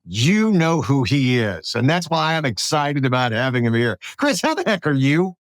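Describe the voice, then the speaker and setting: A middle-aged man from South Alabama hosting a casual, conversational interview in an animated, anecdotal way. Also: medium pitch, moderate pace, no echo. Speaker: podcast host, radio broadcaster.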